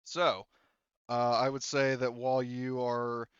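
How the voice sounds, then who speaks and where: A man acting as game master, narrating casually in a halting, rambling way with frequent self-corrections. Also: mid-range voice, level tone, clear, resonant tone, no echo, highs cut off.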